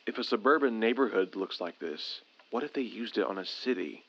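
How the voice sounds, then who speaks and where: A man's voice: on the low side, expressive, brisk, fairly bright tone, muffled recording. A man playing an anxious, frustrated survivor of an alien invasion, formal and earnest, in an audio drama. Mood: weary, brooding. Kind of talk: dramatic audio drama acting with journal narration.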